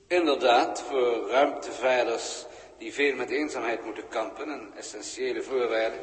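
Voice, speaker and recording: In an echoing hall, a man acts a polite alien official from an old sci-fi radio drama. He sounds formal, measured and deferential, in a mid-range voice. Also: medium pitch, natural voice. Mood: respectful, composed. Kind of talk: 1960s science-fiction radio play acting. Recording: 1960s radio recording, echoing hall reverb.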